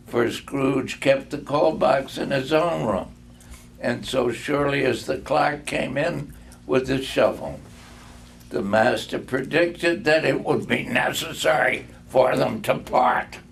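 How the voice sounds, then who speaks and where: An older man reading a classic story aloud in a deliberate way, leaning dramatically on words. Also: mid-range voice, gravelly. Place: live reading in a room. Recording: faint background noise.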